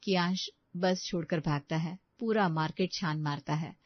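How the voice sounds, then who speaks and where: A woman's voice: on the low side, moderate pace, no echo, muffled recording. A woman reading a scripted story as its narrator.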